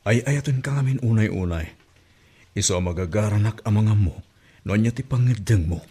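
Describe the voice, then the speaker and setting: A man with an Ilocano accent playing an ardent, pleading middle-aged suitor in an audio drama, with a husky voice. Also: on the low side, moderate pace, mellow. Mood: hopeful.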